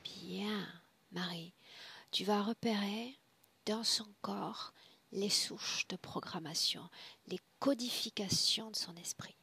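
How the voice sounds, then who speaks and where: A woman guiding a trance session in a solemn, deliberate, commanding way, often dropping to a breathy hush. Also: mid-range voice. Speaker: spiritual hypnosis session guide. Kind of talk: guided trance session, slow solemn address.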